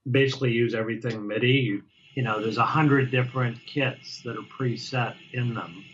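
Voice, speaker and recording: A man talking casually as an interview guest, halting and restarting often as he explains how he plays drums. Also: mid-range voice, fairly bright tone, muffled recording, a little hiss or hum. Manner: halting, many restarts and fillers. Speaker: guest drummer.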